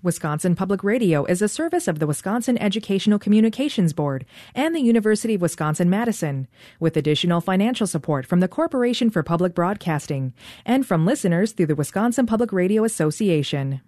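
A woman rattles off station credits quickly in a mellow, warm tone. The sound is dry and close. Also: medium pitch, expressive, no room sound. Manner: brisk, formal read. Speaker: station announcer. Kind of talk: scripted station credit reading.

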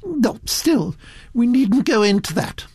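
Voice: mid-range voice, very animated, slow pace, darkish tone, slightly rough, dry recording, studio quality, pitched above the performer's own voice, exaggerated voice. A reader voicing an imperious, bossy elderly duchess with commanding, scandalized exclamations in an audiobook. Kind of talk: audiobook character voice.

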